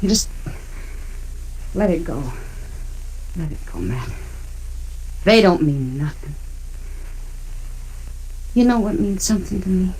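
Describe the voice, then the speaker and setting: An American actress playing a forgiving, proud and wistful frontier woman in an old radio western, gentle and plainspoken. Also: lowish voice, very animated, slow pace, long pauses, slightly rough, exaggerated voice. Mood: gently pleading. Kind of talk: 1950s radio western acting. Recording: noisy old radio recording.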